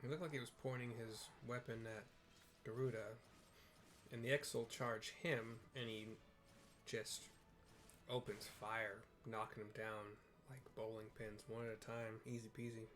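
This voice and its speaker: A man's voice: medium pitch, flat delivery, measured pace, a bit bright. A man narrating video game cutscenes in an informal, improvised, colloquial way, as audio description.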